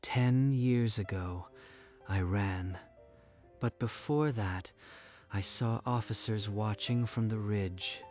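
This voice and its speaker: A man playing a resigned army deserter seeking redemption in an anime-style dub, speaking gravely and solemnly. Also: fairly low pitch, slow, a little mellow, faint music behind. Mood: solemn confession.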